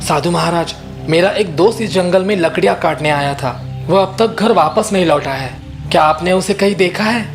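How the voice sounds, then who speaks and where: A man voicing a sensible, contented farmer and concerned friend who reasons gently in a children's moral story. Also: high-pitched, normal speed, music in the background. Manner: reasoning, caring, advising.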